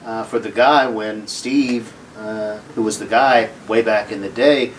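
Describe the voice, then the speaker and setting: Man, radio co-host, relaxed storyteller, self-deprecating, a bit off-mic. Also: lowish voice, measured pace, recorded from a distance, a little hiss or hum, poor audio. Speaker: radio co-host and program director. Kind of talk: casual interview chat.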